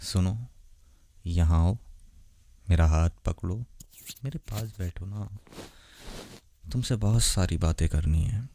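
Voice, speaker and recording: Casting: male actor as ancient vampire lover for ASMR roleplay. Deep, dark-toned, soft-spoken, tender and lonely. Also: slight background noise. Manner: soft, intimate, gentle, unhurried. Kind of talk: romantic ASMR roleplay, addressed to the listener.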